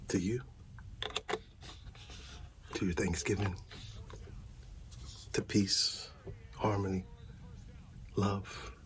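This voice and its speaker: Male ASMR performer, low and unhurried, playing a supportive friend in a hushed half-whisper, faint ambient noise behind. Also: little pitch movement. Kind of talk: soft ASMR roleplay, reading aloud and comforting a friend.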